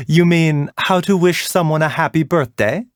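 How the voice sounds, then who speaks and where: A man voicing a gentle, refined video game doctor who is polite, soft-spoken, caring and a little wry. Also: fairly high pitch, no echo, clean studio sound. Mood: amused, kindly. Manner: measured, soft-spoken, polite, lightly teasing. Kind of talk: video game character voice lines.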